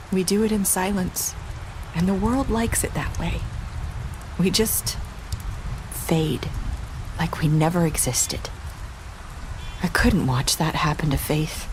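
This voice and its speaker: An actress playing a weary, sorrowful young woman in a video game drama, speaking in a halting, earnest, quietly intense way. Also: on the low side, poor audio. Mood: sorrowful, bitter. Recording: steady rain noise behind the voice.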